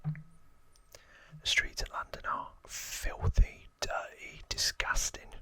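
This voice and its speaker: A man's voice: drawn-out words, sharp tone, no echo, full character voice. A man voicing a boastful, threatening London gangster twin in a put-on Cockney accent, whispering his slangy lines from a game manual. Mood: disgusted, nostalgic. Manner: threatening, slangy, self-important.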